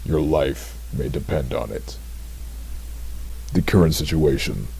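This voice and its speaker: A man's voice: very deep voice, slow, creaky, darkish tone, breathy edge, full character voice. A man playing a grave official who addresses the city's residents over a broadcast, speaking in a stern, commanding way.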